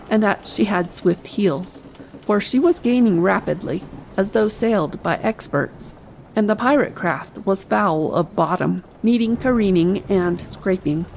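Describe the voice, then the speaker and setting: A woman narrates a classic adventure story in a scripted, dramatic style. Her mid-pitched voice has noticeable fry. Faint sea ambience runs behind her. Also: slight background noise, poor audio. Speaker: audiobook narrator. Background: soft sea ambience.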